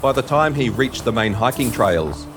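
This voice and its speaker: A man's voice: medium pitch, expressive, moderate pace, music in the background. A man reading scripted narration for an audio drama.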